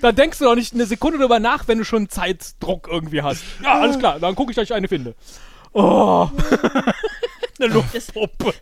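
Excitable guy chatting casually, high voice that jumps around, acts out what he was thinking. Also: moderate pace, gravelly. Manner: excitable, acts out remembered thoughts in quotes. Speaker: podcast guest and improv player. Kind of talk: animated casual debrief chat.

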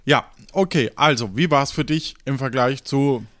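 A man's voice: mid-range voice, measured pace, fairly bright tone, no echo. A man hosting a podcast in a casual, chatty style. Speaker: podcast host. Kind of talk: casual chat.

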